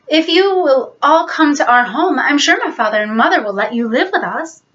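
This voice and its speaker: Woman doing sweet motherly young girl in a bedtime story, earnest, polite, bright high voice. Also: expressive, normal speed, lo-fi sound. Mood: earnest, pleading.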